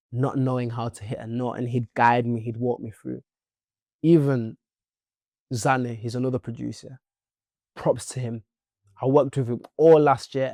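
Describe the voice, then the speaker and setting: Young Leeds man, gravelly, dry close sound, playful and chatty interview guest. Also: medium pitch, darkish tone, dry recording. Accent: Leeds English. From Leeds, England. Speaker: musician guest. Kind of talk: casual interview chat.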